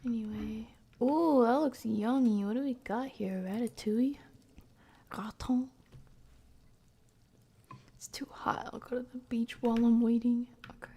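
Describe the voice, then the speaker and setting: A woman streamer giving casual, chatty horror game commentary, muttering to herself in a breathy voice that often drops to a whisper. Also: fairly high pitch, measured pace, pauses often, warm tone.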